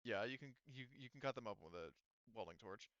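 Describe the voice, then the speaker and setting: A man acting as game master in a casual tabletop session, speaking haltingly and checking details back with players. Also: lowish voice, animated, a bit bright, no echo, lo-fi sound.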